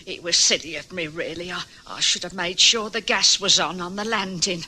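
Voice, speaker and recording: A woman playing a frail elderly wife in a radio drama, breathy and faltering, with a weak, stammering way of talking. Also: lowish voice, moderate pace, bright, forceful tone, slight background noise, rough recording. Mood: weary. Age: older.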